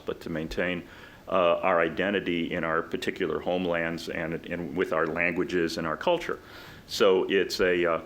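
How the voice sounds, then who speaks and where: Deep-voiced man on a panel. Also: a bit bright. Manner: conversational, many "you know" fillers. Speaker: alumni panelist. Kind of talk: reflective panel discussion. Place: college event room.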